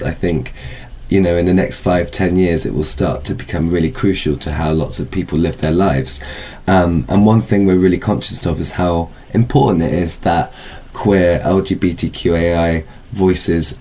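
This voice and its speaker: A man with a deep voice talks as an interview guest presenting a programme. He explains things conversationally, searching for phrases and doubling back on words. There is some noise behind him. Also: warm tone. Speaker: film festival organiser and interview guest.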